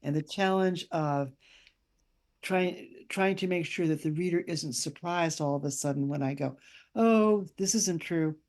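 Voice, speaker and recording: A woman's voice: lowish voice, unhurried, darkish tone. An older woman, a guest author and writing teacher, speaking conversationally in an interview over a video call.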